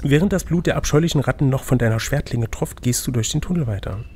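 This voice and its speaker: A man's voice: medium pitch, fast talker, darkish tone, slight background noise. A man narrating an adventure gamebook as game master, switching between scripted reading and casual chat.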